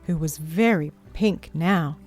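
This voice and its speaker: A woman reading a picture book aloud to young children as a story-time host, in a measured, expressive storytelling manner. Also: deep voice. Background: music behind the voice.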